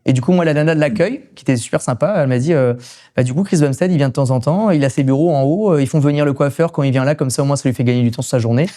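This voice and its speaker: Fast-talking mellow Frenchman telling stories. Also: mid-range voice. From France. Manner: animated storytelling with slangy English loanwords. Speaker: podcast guest, gym equipment coach and reseller. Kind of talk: casual anecdotal interview chat.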